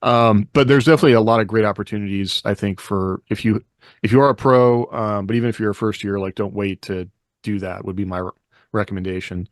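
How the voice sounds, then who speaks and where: A man's voice: on the low side, fairly even intonation, quick pace, no echo, studio quality. A man co-hosting a podcast in casual chat, talking in a loose, rambling, conversational way. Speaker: podcast co-host.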